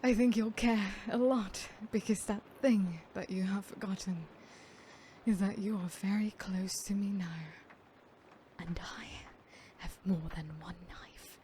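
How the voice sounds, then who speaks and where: A woman playing a sadistic, smug female serial killer in an ASMR horror roleplay, theatrical and unhurried, often dropping into breathy whispers. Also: mid-range voice, fairly even intonation, dark tone, slight background noise, close to an everyday voice. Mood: feigned weakness, menacing.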